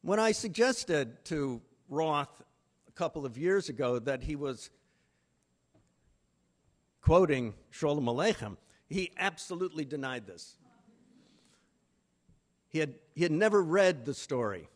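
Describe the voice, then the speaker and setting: A man giving a literary lecture in a deliberate, emphatic manner with pauses between phrases. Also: a little high, animated. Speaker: conference speaker, literary lecturer. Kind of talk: literary lecture with read-out quotations.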